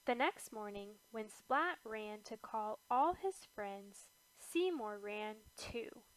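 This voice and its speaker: A woman narrating a children's picture book in a deliberate, expressive storytelling manner. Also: medium pitch, poor audio. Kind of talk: scripted children's read-aloud narration.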